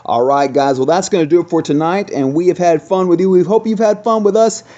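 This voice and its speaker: A man's voice: sits high, a little fast, dark tone. A man hosting a casual game review, talking conversationally and thinking aloud as he goes. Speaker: gaming channel host. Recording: dull, lo-fi sound.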